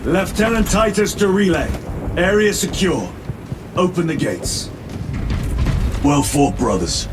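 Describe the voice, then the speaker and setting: An actor playing a stern veteran space marine lieutenant in a video game, giving clipped, authoritative military orders. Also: male voice, sits high, animated, takes it slowly, pauses often, background noise. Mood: firm, composed. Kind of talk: dramatic video game acting.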